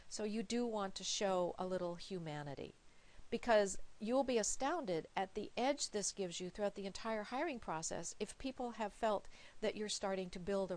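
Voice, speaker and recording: A woman coaching viewers with practical advice in an emphatic, encouraging, conversational way. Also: mid-range voice. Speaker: recruiter giving interview coaching.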